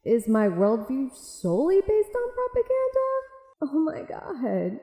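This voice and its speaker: Casting: woman voicing spiralling, anxious inner self, highish and soft-toned, dramatic, with reverb. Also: huge pitch swings, a little slow, faint music behind, full character voice. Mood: panicked. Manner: panicky, dramatic. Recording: echoing hall-like reverb.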